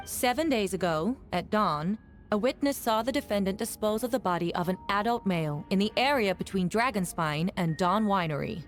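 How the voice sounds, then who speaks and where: An actress playing a stern, composed prosecutor in a fantasy video game trial, speaking in a measured, formal way over music. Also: female voice, medium pitch, expressive, close to an everyday voice. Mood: formal, firm. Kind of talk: formal courtroom game dialogue. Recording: music under the voice.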